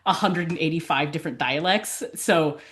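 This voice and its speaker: A woman's voice: low voice, moderate pace, a bit bright. Laughing young woman telling stories. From California. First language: Tagalog. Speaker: podcast guest, college advisor. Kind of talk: casual interview chat.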